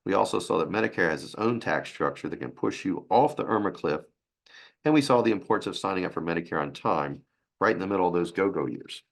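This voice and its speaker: A man with a raspy, hoarse voice from a sinus infection, presenting a financial webinar in a halting way. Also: sits low, animated. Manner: halting, with restarts and fillers.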